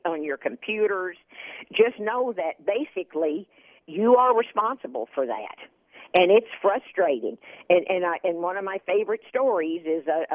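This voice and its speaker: A woman's voice: on the low side, no echo. A woman from Texas, a guest expert on a radio talk show, chatting and telling anecdotes with many repeated words. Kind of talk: casual radio interview. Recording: phone line.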